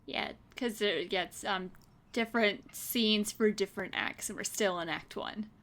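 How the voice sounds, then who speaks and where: A woman's voice: medium pitch, unhurried, fairly bright tone. A woman chatting casually as a player in a tabletop roleplaying game.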